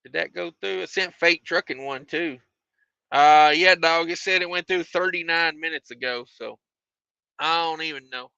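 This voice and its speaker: A man hosting a trucking livestream, reading viewer comments in a relaxed, rambling way with many fillers and repeated phrases, his voice getting tired and hoarse. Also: a little high, normal speed, no room sound, highs cut off. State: losing his voice.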